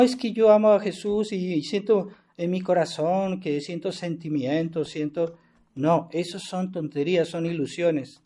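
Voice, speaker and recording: A man's voice: sits high, warm tone. A man, a priest giving a sermon in an earnest, insistent way, often adding rhetorical tag questions. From Mexico. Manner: earnest and insistent, with frequent rhetorical "¿verdad?" tags and restarts.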